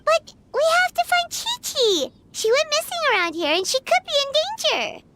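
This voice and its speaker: A woman voicing a tiny, excitable girl fairy in a video game, with a squeaky childlike voice and a chatty, exclamatory manner. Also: moderate pace, slight background noise, heavily acted. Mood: worried. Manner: childlike, speaks of self in third person, exclamatory.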